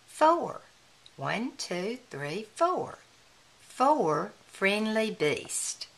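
Woman reading slowly, with lively swooping pitch. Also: vocal fry, rough recording. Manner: deliberate, counting out for children. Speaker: children's book reader. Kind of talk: read-aloud of a children's picture book.

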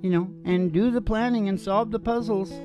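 A man's voice: high voice, fairly even intonation, normal speed, dull audio. Older folksy man, guitar behind. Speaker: host and singer of a music video podcast. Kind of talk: casual rambling chat. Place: small dim curtained stage or room. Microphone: stand microphone. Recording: live performance with acoustic guitar. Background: acoustic guitar.

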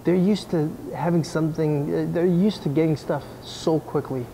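A man's voice: fairly high pitch, fairly even intonation, normal speed, dark tone, a little hiss or hum, low-quality recording. A man in his forties answering business questions in a casual, blunt way, often restarting his sentences, recorded on a camera indoors. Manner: blunt, conversational, frequent restarts. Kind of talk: casual Q&A chat. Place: indoor atrium of a mall or coffee shop. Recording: music under the voice. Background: background music.

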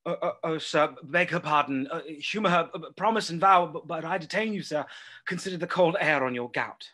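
An actor playing a nervous young gentleman who stammers in a stage play. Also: fairly high pitch, brisk. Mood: flustered.

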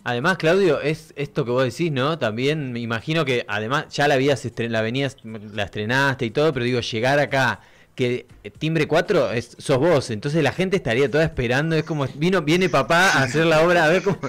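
A man's voice: mid-range voice, a little fast, a bit bright. A man in his thirties with an Argentine accent, hosting a casual, colloquial radio interview on a studio microphone. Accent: Argentine (Rioplatense). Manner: informal, colloquial. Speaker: radio host. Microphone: close studio microphone with headphones.

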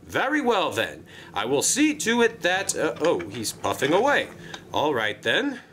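A fussy, pompous railway controller, voiced by a man, with a high, bright, forceful tone. His speech rises and falls all over the place as he bustles along in a brisk, formal manner for a storybook tale. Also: wildly swooping pitch, a little hiss or hum, exaggerated voice.

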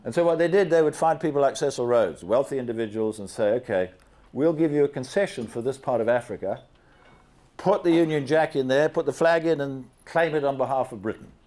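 A man's voice: medium pitch, a little fast. Older Zambian-born man giving a slide talk. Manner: animated, asks the audience questions, gestures at slides. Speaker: guest speaker. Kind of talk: slide presentation to an audience. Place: large banquet hall with seated audience. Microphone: handheld microphone.

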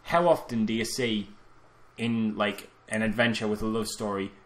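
A man co-hosting a film podcast, arguing his opinions casually in a halting, repetitive way. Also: fairly low pitch, fairly even intonation, fairly bright tone. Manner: halting, repeating phrases as he argues opinions. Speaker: podcast co-host. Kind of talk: casual film critique chat.

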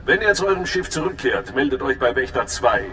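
An actor playing a stern, formal intelligence overseer in a sci-fi game, talking in a clipped, official way. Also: male voice, expressive, fairly quick, a bit bright, lightly acted. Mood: formal, businesslike. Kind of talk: dramatic sci-fi game dialogue. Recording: echoey hall with faint rumbling noise.

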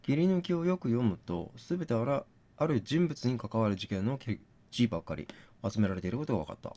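A man doing gaming commentary, thinking out loud and reasoning step by step, mixed with reading text aloud. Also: medium pitch, sing-song, brisk. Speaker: game streamer reading on-screen text aloud.

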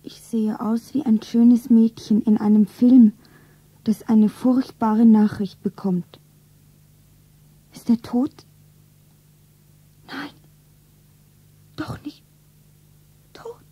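Slow, breathy, anxious young woman. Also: on the high side, fairly even intonation, no room sound, muffled recording, exaggerated voice. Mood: shocked. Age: young adult. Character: anxious, self-admiring young woman narrating her melodrama. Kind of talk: stylized radio drama narration.

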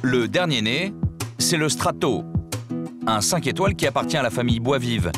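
Guy narrating a tv doc, pitch bouncing around a lot, music under him. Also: animated, normal speed. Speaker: documentary narrator. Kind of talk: scripted narration.

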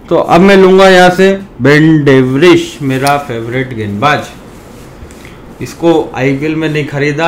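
A man's voice: fairly high pitch, a little hiss or hum. A man from India with a sore throat, talking casually to his viewers, calling them brothers and ranting now and then. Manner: chatty, addresses viewers as brothers, animated rants. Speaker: fantasy cricket YouTuber.

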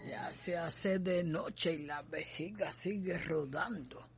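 A woman's voice: fairly low pitch, takes it slowly, music in the background. An actress playing an anxious, superstitious, folksy servant woman with a Cuban accent in a radio soap opera. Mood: foreboding.

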